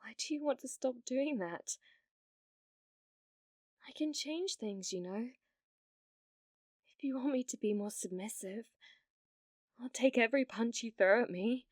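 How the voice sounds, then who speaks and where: Woman acting a needy, obsessive British streamer girl, high-voiced. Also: a little slow, long pauses, no room sound, clean studio sound. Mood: soft, desperate. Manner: breathy pleading, stammering, swinging between whispers and outbursts. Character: obsessive, needy young female yandere streamer, pleading and delusional. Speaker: ASMR voice actress. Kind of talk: ASMR roleplay monologue.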